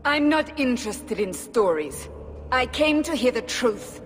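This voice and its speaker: A woman's voice: high-pitched, animated, measured pace, long reverb tail, soft background music. An actress playing a stern female church investigator who questions curtly and commandingly. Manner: curt, commanding interrogation.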